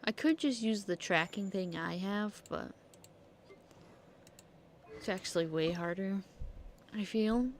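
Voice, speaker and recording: Casual female game streamer, slow-paced and playful, sweary self-talk, with some clicky background noise. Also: mid-range voice. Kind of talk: casual gameplay commentary.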